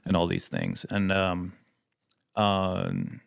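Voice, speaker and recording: A man's voice: deep voice, slow pace, creaky. A man in his thirties from Sweden with a Swedish accent, chatting casually as an interview guest and filling his talk with "like" and "you know.". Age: 30s. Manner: relaxed, many fillers like "like" and "you know". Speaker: guest, missionary pilot.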